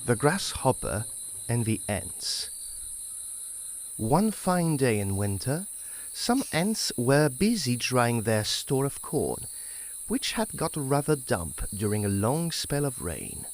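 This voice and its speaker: A man narrating fables as a guest storyteller in an expressive storybook style. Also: medium pitch, slow, slight background noise.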